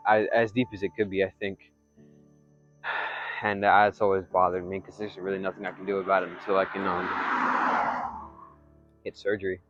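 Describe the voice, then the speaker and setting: A young man with a congested, nasal voice talking candidly in a vlog, halting and rambling with many fillers and restarts. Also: on the low side, highs cut off. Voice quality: congested, nasal from blocked nose; soft, not loud. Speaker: vlogger. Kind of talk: candid personal vlog monologue.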